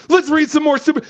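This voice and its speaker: A man voicing a brash, boastful online troll who calls himself Daddy, hoarse from shouting. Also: very high-pitched. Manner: boastful, taunting, drawn-out delivery. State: hoarse, losing his voice. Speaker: guest host. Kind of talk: live stream chat. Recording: dull lo-fi stream audio.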